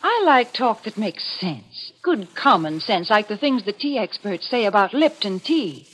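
A woman giving a chatty, emphatic sponsor pitch in 1940s radio style. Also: big pitch swings, measured pace, a bit bright, low-quality recording. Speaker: commercial announcer and host's foil.

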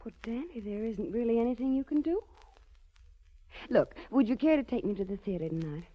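A woman's voice: fairly high pitch, lively intonation, a little fast. An actress playing a cool, self-assured female crime boss in a 1940s radio drama, poised, haughty and coolly seductive. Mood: silky, teasing. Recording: muffled 1940s radio broadcast recording.

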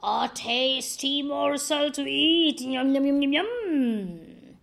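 A woman voicing gruff, slow-talking crocodiles in a storybook. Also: high-pitched, expressive, a bit bright, heavily acted. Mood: hungry. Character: gruff suspicious male crocodiles.

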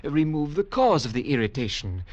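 An actor in old-time radio drama playing a pompous, deferential village doctor who speaks formally and with cool self-assurance. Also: male voice, a little high, very animated, moderate pace, dull audio, very noisy. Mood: clinical, confident. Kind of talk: 1940s-50s radio drama acting.

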